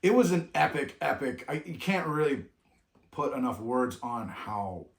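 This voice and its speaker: A man's voice: medium pitch, big pitch swings, unhurried, creaky. Middle-aged guy co-hosting a travel vlog, loose rambly talk, lots of restarts, echoey room. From California. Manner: rambling, with many restarts and trailing off. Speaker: vlog co-host. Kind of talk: reflective casual chat.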